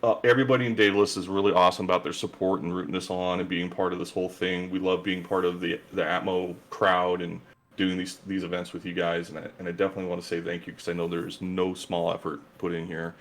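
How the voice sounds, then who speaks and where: A man's voice: low-pitched, little pitch movement, quick pace. A man guest in a casual interview, talking earnestly and gratefully about his gaming group.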